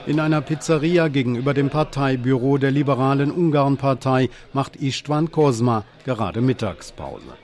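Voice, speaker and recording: A man reading a scripted news report as a documentary voice-over, in an even, measured way. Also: mid-range voice.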